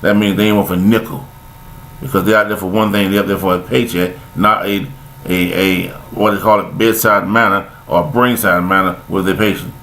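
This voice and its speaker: Gravelly older man preaching folksy advice. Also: low voice, flat delivery, normal speed, fairly bright tone, a little hiss or hum, rough recording. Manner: preachy, folksy, repeats himself. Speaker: vlogger giving life commentary. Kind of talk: rambling spoken monologue.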